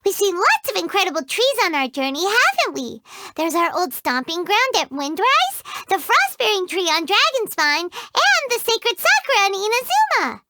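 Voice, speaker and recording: A woman voicing a tiny, excitable fairy companion in a video game who talks about herself in the third person. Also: moderate pace, hardly any pauses, very noisy, exaggerated voice. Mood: chirpy, excitable. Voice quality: high squeaky childlike voice. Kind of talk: video game character dialogue.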